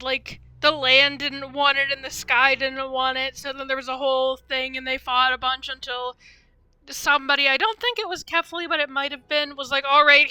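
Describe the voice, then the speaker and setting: A woman's voice: high-pitched, bright, forceful tone, no echo, rough recording. A woman doing casual livestream game commentary, rambling and thinking aloud in a tentative way. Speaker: game streamer. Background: game music.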